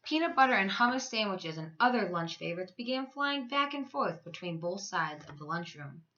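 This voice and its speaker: A woman reads a children's storybook aloud like a teacher to her pupils. Her voice is bright and very lively in pitch, with emphatic, stretched words. The recording is a little dull and lo-fi. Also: normal speed.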